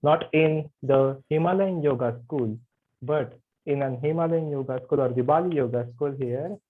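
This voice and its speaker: A man introducing himself as a yoga anatomy teacher in an online class, halting with many fillers. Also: on the high side. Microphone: video call. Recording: tinny video call audio.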